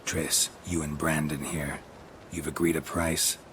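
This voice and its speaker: An actor playing a weathered, stoic monster hunter in a video game, terse and wry. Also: male voice, very deep voice, a little slow, a little hiss or hum. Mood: firm. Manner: deadpan, terse.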